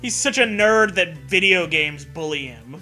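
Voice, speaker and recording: Sarcastic man, very bright cutting voice, high pitch, profane comedy commentary, music behind. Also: lively intonation, a little slow. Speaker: co-host and commentator.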